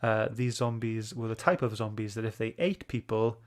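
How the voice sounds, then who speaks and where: A man's voice: on the low side, steady tone, moderate pace, darkish tone. A man hosting a book club livestream, chatting informally and greeting viewers. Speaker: host.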